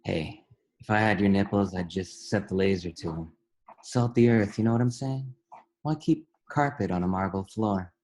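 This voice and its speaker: A man's voice: lowish voice, normal speed, a little mellow, natural voice. A man playing a friendly, cocksure young roommate who earnestly spouts conspiracy theories in a comedy table read, slangy and boastful. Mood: easygoing. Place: at home, remote table read.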